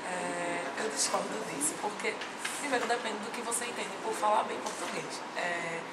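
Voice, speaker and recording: A woman's voice: mid-range voice, normal speed. A woman being interviewed, giving a thoughtful, explanatory opinion. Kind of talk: street vox-pop interview. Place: university building. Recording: echoey, distant, noisy.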